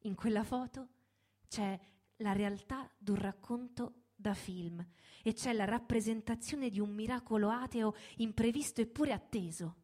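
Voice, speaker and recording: A woman giving a theatrical, declamatory reading in deliberate phrases, like a stage performer telling a story. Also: fairly high pitch, mellow. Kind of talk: theatrical dramatic reading over music. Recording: live radio reading with music underneath.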